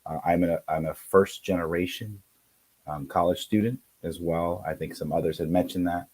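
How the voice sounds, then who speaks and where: Man, 40s, native Spanish speaker, very deep, husky tone, earnest guest telling his story on a home call. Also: steady tone, moderate pace, darkish tone. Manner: earnest, storytelling with many fillers. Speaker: guest, career center director. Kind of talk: casual conversation.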